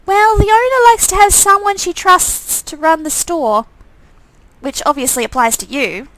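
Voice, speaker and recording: A caring young shop worker with something to hide, played by a woman for a visual novel. She is earnest and easily defensive, with a high, bright voice that sounds very dry. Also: normal speed, no room sound, low-quality recording. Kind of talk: acted visual novel dialogue.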